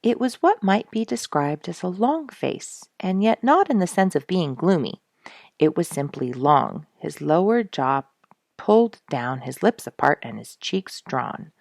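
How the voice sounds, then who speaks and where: A woman reading a story aloud as an expressive, deliberate narrator. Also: fairly low pitch, vocal fry, dry recording, studio quality.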